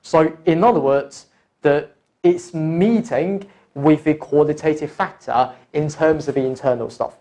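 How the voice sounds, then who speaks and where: A man working as an online course tutor, explaining step by step in a deliberate, instructional lecture style and addressing the learner directly. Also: fairly high pitch, mellow. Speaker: accounting exam course tutor. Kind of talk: explanatory lecture, talking through examples.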